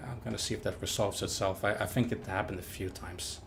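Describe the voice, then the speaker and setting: Young man, low-ish voice, hesitant live-stream chatter. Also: steady tone, vocal fry, a bit bright, a little hiss or hum. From Norway. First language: Norwegian. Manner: halting, repeats words, chatty with viewers. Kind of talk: live gameplay commentary.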